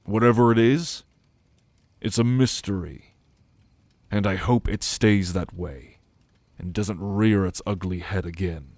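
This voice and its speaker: A man acting a brooding, dutiful ancient celestial being in an ASMR audio roleplay, speaking in a measured, formal, pensive way. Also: sits low, a little mellow, no echo. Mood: grave, pondering. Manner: measured, pensive, formal phrasing with deliberate pauses.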